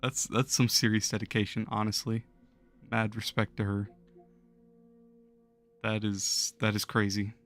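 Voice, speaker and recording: A hoarse young man losing his voice, chatting casually with viewers on a gaming livestream and chuckling often. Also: fairly low pitch, flat delivery, measured pace, pauses often, a bit bright, soft background music. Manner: laid-back, chuckles while talking to chat.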